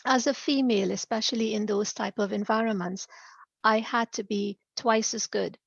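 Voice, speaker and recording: A middle-aged Trinidadian woman with a gravelly, creaky edge to her voice. She talks in a measured, even way and laughs lightly between points. The sound is dry and a little dull, like a remote call. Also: medium pitch, dry recording. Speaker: guest. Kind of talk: podcast interview.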